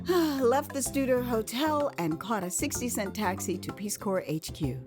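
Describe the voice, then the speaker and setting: An American woman narrating a first-person memoir as audio drama, with an expressive, theatrical delivery. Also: fairly high pitch, unhurried, over music. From United States. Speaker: author and narrator.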